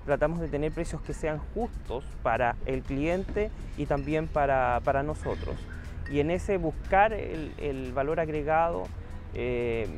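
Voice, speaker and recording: A man's voice: a little high, animated, unhurried, darkish tone, faint music behind. A young man, a small business owner answering interview questions outdoors, earnest and hesitant with many fillers. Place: outdoor town plaza.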